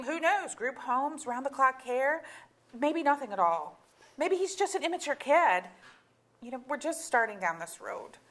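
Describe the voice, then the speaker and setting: Exasperated, blunt wife, highly animated. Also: female voice. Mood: weary, sardonic. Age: middle-aged. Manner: sardonic, emphatic, long articulate tirades. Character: exasperated, blunt middle-aged wife, psychotherapist and mother. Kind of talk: staged play reading, dramatic comedy. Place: theatre auditorium.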